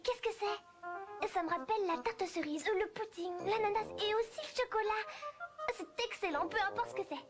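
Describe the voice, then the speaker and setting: A woman voicing a curious, excitable little girl who thinks aloud in a children's cartoon, with music behind her. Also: very high voice, moderate pace. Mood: musing. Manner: exclaiming, thinking aloud to herself. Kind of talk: French-dubbed children's cartoon acting. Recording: music under the voice.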